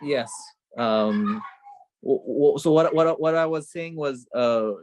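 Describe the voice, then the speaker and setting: A man's voice: medium pitch, slow, darkish tone, no room sound. A middle-aged man from Bhutan, a film director telling his story earnestly on a video call from home, repeating phrases and saying "you know" often. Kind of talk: remote interview, reflective storytelling. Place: home with children nearby.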